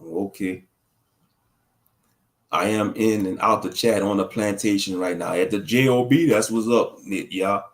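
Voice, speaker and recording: A man's voice: fairly low pitch, steady tone, a little slow. Male livestream commentator; loose, slangy, preachy ramble while reading the chat. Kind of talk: casual livestream talk answering chat.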